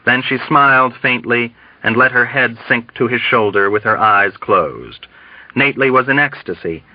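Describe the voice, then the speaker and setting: A man's voice: medium pitch, normal speed, dry recording. A man reading a novel aloud as scripted audiobook narration. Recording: dull, dry, band-limited audio.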